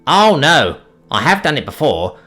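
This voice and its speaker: A man's voice: fairly high pitch, very animated, unhurried, sharp tone, faint music behind, voice pushed up, full character voice. A man voicing a playful, cheeky young male dragon who teases and jokes carefree. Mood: cheerful. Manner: teasing, sarcastic, carefree.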